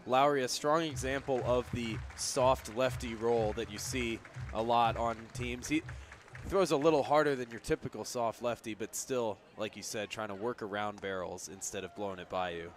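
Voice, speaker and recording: Male color commentator, medium pitch, measured and explanatory, conversational radio analysis with faint outdoor noise.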